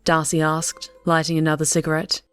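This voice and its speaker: A woman's voice: deep voice, completely flat delivery, a little fast, clear, resonant tone, no echo. A woman reading scripted story narration as an audiobook narrator. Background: soft music.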